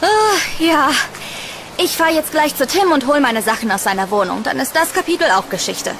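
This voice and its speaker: High-voiced young female student, acted. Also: animated, moderate pace, background noise. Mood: excited, cheerful. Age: young adult. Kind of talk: German audio horror drama acting.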